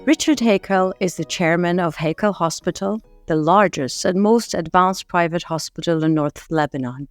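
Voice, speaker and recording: A woman with a fairly low voice hosts a podcast interview. She sounds eager and encouraging toward her guest. Her voice is close and dry, with no room echo. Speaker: podcast host. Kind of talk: interview hosting.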